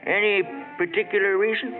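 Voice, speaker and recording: A man's voice: high-pitched, fairly even intonation, a little fast, close to an everyday voice. A man voicing a nosy, grumbling older country man. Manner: folksy, curious, grumbling. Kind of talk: 1940s radio drama acting. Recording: tinny old radio recording with music.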